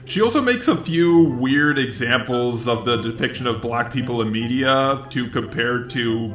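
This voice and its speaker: A man's voice: mid-range voice, expressive, moderate pace, a bit bright. A man giving casual video commentary with a sardonic manner. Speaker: YouTube commentator. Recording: roomy echo, music behind.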